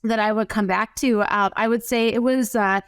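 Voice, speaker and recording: A woman's voice: fairly high pitch, fairly even intonation, normal speed, clean tone, no echo, studio quality. A middle-aged woman from northern Wisconsin, with that accent, speaking as an interview guest. She talks in a chatty, enthusiastic way with long runs of words and filler "uh"s. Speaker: podcast guest, dairy coach. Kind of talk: casual interview chat.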